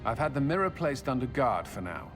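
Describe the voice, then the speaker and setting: An actor playing an exasperated, sardonic military commander in a fantasy game. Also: male voice, medium pitch, big pitch swings, fairly quick, music in the background, close to an everyday voice. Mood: grim. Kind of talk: video game dialogue acting.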